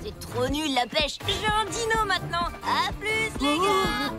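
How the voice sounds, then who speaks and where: Really high bratty cartoon boy, bossy n mocking, swoops around, loud music behind. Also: expressive, measured pace. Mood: dismissive. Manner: taunting, boastful. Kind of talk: French cartoon dub.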